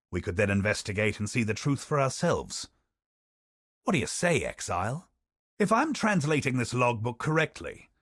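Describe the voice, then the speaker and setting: Wry scholarly explorer in a game, lively up and down voice, dry close studio sound. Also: male voice, mid-range voice, dry recording. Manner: dry wry asides, measured storytelling delivery. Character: scholarly male explorer, curious, wry, honor-seeking. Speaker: expedition companion character. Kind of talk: studio video game voice lines.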